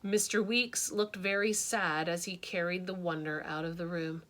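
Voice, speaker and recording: Bright, expressive woman reading a picture book. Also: normal speed. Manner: expressive, shifting pitch and loudness for drama. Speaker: teacher reading aloud. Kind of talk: picture book read-aloud.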